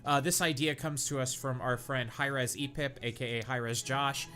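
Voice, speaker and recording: A man's voice: medium pitch, steady tone, moderate pace, pushed and bright, faint music behind. A young man co-hosting a comedy podcast, reading bad fan fiction aloud with mock-dramatic flair.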